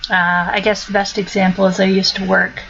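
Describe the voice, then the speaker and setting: A woman's voice: mid-range voice, little pitch movement, brisk, creaky, fairly bright tone, dull audio. A woman with a blended American and Australian accent, talking casually in a monologue with many ums and restarts. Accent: mixed American-Australian. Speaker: vlogger.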